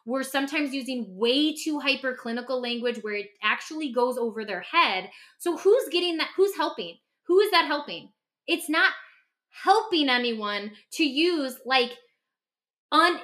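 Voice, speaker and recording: Bright, higher-pitched woman, emphatic and candid. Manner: emphatic, passionate, repeats phrases for stress. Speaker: podcast host, clinician and author. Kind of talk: candid conversational podcast talk.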